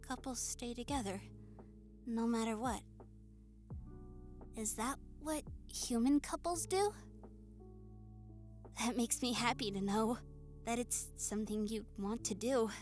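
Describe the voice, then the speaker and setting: A woman voicing a shy, nerdy young goblin woman in a romantic audio roleplay, hesitant, stammering and soft-spoken. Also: fairly high pitch. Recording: music behind the voice. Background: soft music.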